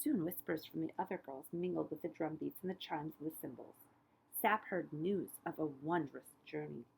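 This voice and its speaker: Husky, creaky-voiced woman reading a storybook. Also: sits low, moderate pace, poor audio. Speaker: librarian reading aloud. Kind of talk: expressive storybook read-aloud narration.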